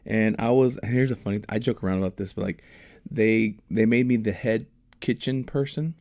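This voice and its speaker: A man's voice: lowish voice, moderate pace, darkish tone, dry recording, studio quality. A man hosting a podcast interview, chatting and laughing along with his guest. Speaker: podcast host. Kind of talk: casual interview chat.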